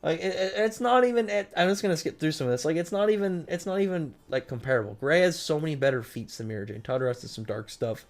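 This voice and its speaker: A man's voice: a little high, animated, quick pace, hardly any pauses. A man hosting a livestream, chatting casually with viewers in a rambling, repetitive way full of asides. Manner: rambling, jumps between asides, repeats himself. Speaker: livestream host. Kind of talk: casual chat with viewers, reading comments.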